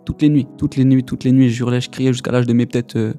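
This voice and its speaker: A man's voice: mid-range voice, little pitch movement, fast talker, dark tone, soft background music. A young man in his twenties from the Paris region, giving a casual personal testimony in a slangy way, often repeating his words. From Paris region, France. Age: 20s.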